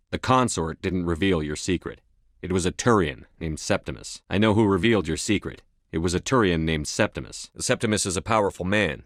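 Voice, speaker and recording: A low male voice, close and dry, a resolute military commander in a sci-fi game. He speaks in terse, assertive lines with a blunt, controlled manner. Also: a bit bright, no echo. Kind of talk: scripted video game dialogue.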